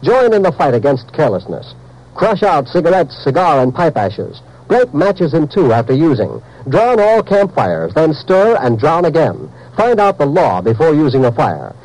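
American male announcer, vintage public service spot, highish voice, big emphatic swings, solemnly earnest. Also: very animated, moderate pace, a little mellow, rough recording. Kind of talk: scripted 1950s radio public service message.

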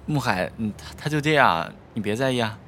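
A man voicing an excitable, easily flustered college student in a campus audio drama. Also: medium pitch, a little fast, a little hiss or hum, lightly acted. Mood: awkward. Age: young adult.

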